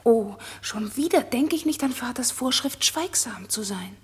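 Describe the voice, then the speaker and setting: An actress playing an innocent, lovestruck young maiden in a German radio drama, soft and earnest with tender emotion. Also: female voice, fairly high pitch, animated, measured pace, slightly rough, full character voice. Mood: shy, wondering.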